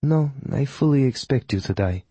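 A man's voice: medium pitch, lively intonation, normal speed, warm tone, slightly rough, no echo, pitched above the performer's own voice. A man voicing a proud female witch who leads a coven, speaking with a Polish accent in a formal, clipped, disdainful way. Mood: cold.

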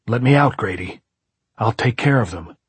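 A man voicing an enraged, desperate husband in an audiobook, intense, shouting and pleading. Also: mid-range voice, lively intonation, moderate pace, breathy edge, no echo. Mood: urgent. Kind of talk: audiobook character voice.

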